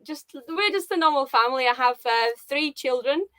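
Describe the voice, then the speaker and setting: Russian woman guest, 40s, bright higher voice, dry close sound, hesitant with fillers. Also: animated, normal speed, no room sound. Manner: hesitant, with many fillers and restarts. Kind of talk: casual conversation on a live video call. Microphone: video call.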